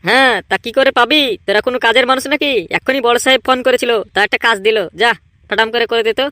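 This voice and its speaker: Man voicing a high, rapid-fire bossy gang leader. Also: steady tone, pushed and bright, no room sound. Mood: bossy, scolding. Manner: scolding, emphatic, repetitive. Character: bossy, scheming, irritable male village gang boss. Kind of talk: exaggerated comedy cartoon dialogue.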